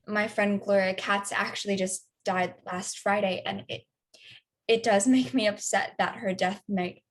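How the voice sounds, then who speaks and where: A woman's voice: mid-range voice, close to an everyday voice. Woman actor as grieving woman, mid-range voice, restrained, hesitant, stammering, documentary theater. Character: grieving woman who lost a friend, restrained. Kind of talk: documentary theater monologue, conversational.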